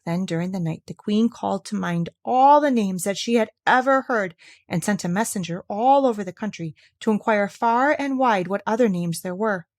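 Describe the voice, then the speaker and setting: A woman storyteller reading a fairy tale aloud in an expressive, theatrical and clearly enunciated way. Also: medium pitch, normal speed.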